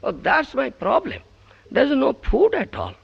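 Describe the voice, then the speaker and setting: A man's voice: extremely high pitch, wildly swooping pitch, a little slow, gravelly, slightly rough, pitched above the performer's own voice, heavily acted. A man voicing a worried, devoted woman pleading for help, on a dull lo-fi recording. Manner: anxious, pleading.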